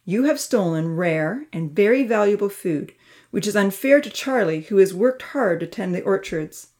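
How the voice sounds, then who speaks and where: A woman voicing a firm, kindly older male leader who scolds boys in a measured way in a storybook reading. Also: fairly low pitch, warm tone.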